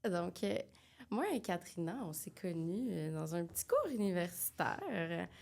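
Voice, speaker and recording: A woman's voice: lowish voice, sing-song, unhurried, vocal fry, darkish tone. A young woman from Quebec with a Québécois accent, co-hosting a casual podcast chat, chatty and mixing English into her French. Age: young adult. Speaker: podcast co-host.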